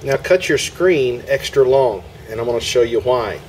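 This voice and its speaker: A man's voice: medium pitch, expressive, normal speed, a little mellow, slight background noise, rough recording. A man hosting a homestead video, talking casually through a hands-on demonstration outdoors in a yard, folksy and step by step. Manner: folksy, talks through each step while working.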